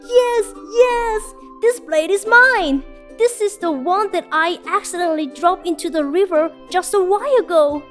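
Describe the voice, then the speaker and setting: An honest young lumberjack from a fairy tale, voiced by a woman storyteller. The voice is high, earnest and humble, with slow phrasing. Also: soft background music. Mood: excited.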